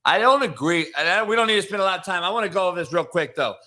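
A man's voice: high-pitched, expressive, racing, sharp tone. A man with an American accent hosting a sports talk show, arguing casually and bluntly with plenty of swearing. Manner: blunt, brash, heavy swearing. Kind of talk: casual profane sports debate.

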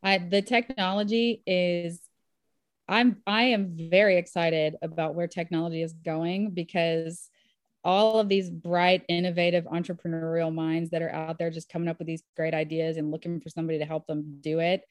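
A woman's voice: fairly low pitch, level tone, normal speed, clear, resonant tone, no room sound, studio quality. A woman physician appearing as a podcast guest. She speaks in an upbeat, enthusiastic, conversational way. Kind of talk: casual interview talk. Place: home in Nashville.

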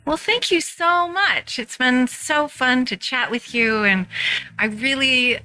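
Podcast host: American woman, bright and slightly high, conversational and effusive. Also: takes it slowly, low-quality recording. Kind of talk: casual talk show chat.

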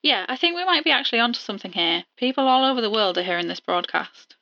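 A woman playing an excited young investigator, earnest, bright forceful voice, slightly lo-fi dry recording, audio drama. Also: fairly high pitch, fast talker, creaky, no echo, full character voice. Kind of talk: naturalistic audio drama acting.

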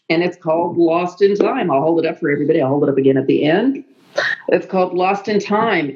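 A hoarse woman, a college professor, talks as a guest and tells stories in a halting way, with fillers and restarts. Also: fairly low pitch, a little mellow, dull audio. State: hoarse, losing her voice. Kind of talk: casual interview storytelling.

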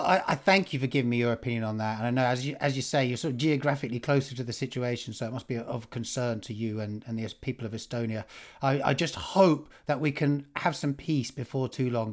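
A man's voice: medium pitch, a little fast. A man hosting an interview who talks in a chatty, enthusiastic way and asks long, winding questions. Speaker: podcast host.

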